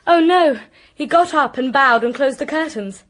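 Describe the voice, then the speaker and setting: High-pitched, anxious young British woman played by an actress. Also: normal speed, natural voice. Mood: tense recounting. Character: anxious, articulate young niece recounting a crime. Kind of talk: British radio drama acting.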